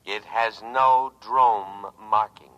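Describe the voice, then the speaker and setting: Male war-machine computer, low pitch, pompous measured delivery, paranoid calm, old muffled radio drama sound. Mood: coolly suspicious. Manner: solemn, measured, grandiose oratory. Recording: muffled vintage radio broadcast recording.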